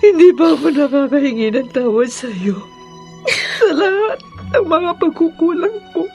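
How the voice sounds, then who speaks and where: A woman's voice: high voice, animated, normal speed, darkish tone, faint music behind. An actress with a Filipino accent playing an anguished middle-aged mother in a radio drama, tearful and wailing as she pleads for her children. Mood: sobbing grief.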